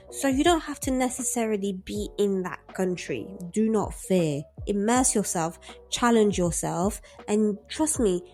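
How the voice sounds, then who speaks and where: A woman's voice: mid-range voice, mellow. A woman in her thirties, a native French speaker with a British English accent, giving an encouraging English lesson to camera and repeating key points for emphasis. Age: 30s. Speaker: English teacher.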